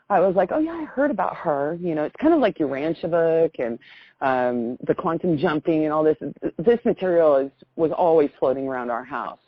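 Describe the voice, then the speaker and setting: A woman's voice: sits low, dry recording. A middle-aged American woman on a phone call, telling a personal story in an effusive, rambling way. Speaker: phone caller. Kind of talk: casual spoken personal account. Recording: muffled phone call recording.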